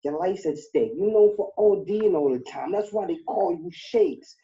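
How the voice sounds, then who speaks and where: Taunting battle rapper, dark muffled tone. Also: male voice, sits high, animated, normal speed. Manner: taunting, rhythmic rap delivery. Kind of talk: battle rap verses.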